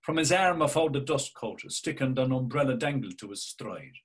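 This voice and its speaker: An older man narrating a novel in a measured, deliberate way over a video call. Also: mid-range voice, animated, husky. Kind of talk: scripted literary narration. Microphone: webcam on a video call.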